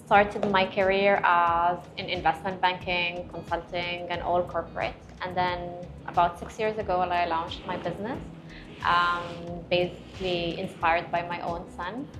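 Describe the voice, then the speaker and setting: A middle-aged woman from Morocco, a guest entrepreneur in a casual panel chat, telling stories in an animated way with emphatic repetition. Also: fairly low pitch, poor audio.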